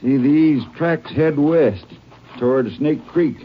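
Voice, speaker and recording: An actor playing a rural Texas sheriff with a folksy Texan drawl, wry and gruff when crossed, in old-time radio western style. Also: male voice, on the high side, slow pace, a little hiss or hum. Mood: thoughtful. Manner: folksy, drawling country idiom. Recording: muffled old radio broadcast recording.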